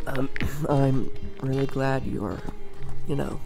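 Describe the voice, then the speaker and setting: A man's voice: mid-range voice, fairly even intonation, takes it slowly, creaky, over music. A man playing a laid-back, chivalrous young biker in an audio drama, cool and laconic. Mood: cool, easygoing.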